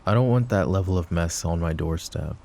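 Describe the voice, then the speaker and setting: A man playing a possessive, overprotective mafia boss in an intimate roleplay audio drama, with a low gravelly voice and a measured, commanding manner. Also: warm tone. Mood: dry, indignant. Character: possessive, overprotective male mafia boss, curt but caring. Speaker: voice actor.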